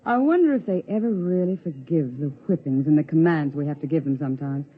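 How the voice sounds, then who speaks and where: A woman's voice: sits low, lively intonation, brisk. An actress playing an anxious suburban mother in a 1950s radio drama, on an old muffled recording. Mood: wry, pensive. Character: anxious suburban mother turning terrified by invasion.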